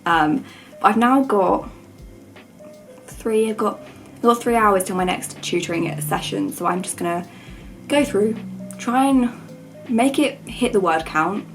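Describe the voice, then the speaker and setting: Croaky young woman chatting casually. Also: medium pitch, measured pace, long pauses, faint music behind, rough recording. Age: young adult. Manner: informal, self-deprecating, rambling. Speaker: student vlogger. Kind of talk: casual chat to camera.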